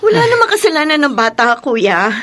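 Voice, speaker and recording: A gentle, long-suffering young wife in a radio drama, earnest and pleading. Her voice is very high and bright. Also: sing-song, fairly quick.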